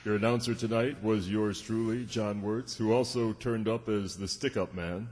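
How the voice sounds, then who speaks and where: Low-voiced male announcer in an echoey hall. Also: fairly even intonation, normal speed, darkish tone. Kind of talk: old-time radio announcing to a live audience. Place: township hall stage. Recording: echoey hall, clear background noise.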